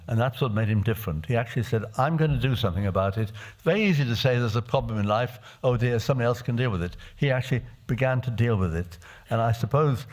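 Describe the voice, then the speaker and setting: A man's voice: medium pitch, a little mellow. Elderly Czech-born male legislator, testimony speech in a chamber, mid-range voice, hesitant with restarts. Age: older. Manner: reflective, halting with many restarts. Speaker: parliamentarian. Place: assembly chamber.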